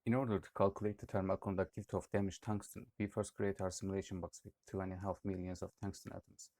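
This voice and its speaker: A man's voice: low-pitched, level tone, quick pace, dark tone, no room sound. A young man from Turkey reading out a student project presentation, with occasional hesitations. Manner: read-aloud explanation with occasional "uh" hesitations.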